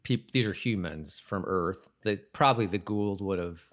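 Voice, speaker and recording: A man with a slightly low voice talks as a podcast co-host. He speaks in a loose, conversational way, discussing a show informally. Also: normal speed. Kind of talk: casual chat about a TV episode.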